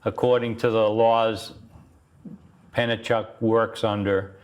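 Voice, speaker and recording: A man's voice: on the low side, little pitch movement, slow pace. A man hosting a local community TV talk show, plainspoken and hesitant, with frequent ums. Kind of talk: casual political talk show.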